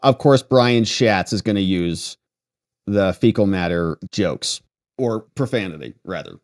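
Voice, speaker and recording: American guy doing livestream politics commentary, really animated up and down delivery, snarky asides while reading stuff out. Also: sits low, a little slow. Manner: sarcastic, mocking asides.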